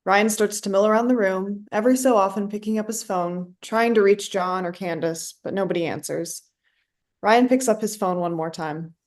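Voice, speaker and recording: Woman narrator reading stage directions for a play, medium pitch, scripted. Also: moderate pace.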